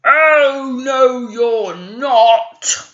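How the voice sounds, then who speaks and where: A woman voicing a big, hungry, greedy male troll in a children's story, loud and roaring. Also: high voice, drawn-out words, dull audio, heavily acted. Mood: threatening.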